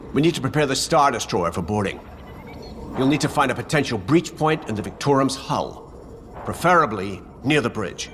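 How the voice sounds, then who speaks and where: A man acts out a practical rebel flight leader with a medium-pitched voice. He is assured and plain-spoken, laying out plans like an easygoing ace in a game cutscene, with score playing behind him. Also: a bit bright, lightly acted. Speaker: pilot leader giving a briefing. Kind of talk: scripted cinematic game acting. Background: cinematic music.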